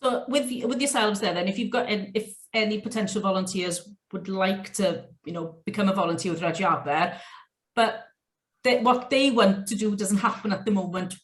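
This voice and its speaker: A woman interviews a guest over a video call from an echoey room. She asks long, rambling questions and responds warmly and encouragingly. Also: mid-range voice. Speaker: interviewer, host of the virtual fair. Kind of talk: online interview.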